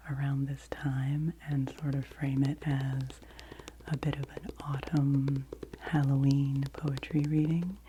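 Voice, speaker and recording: Whispering woman, very low and slow. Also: slight background noise. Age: 40s. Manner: whispered, gentle and unhurried. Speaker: ASMR host. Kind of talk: soft-spoken ASMR book review.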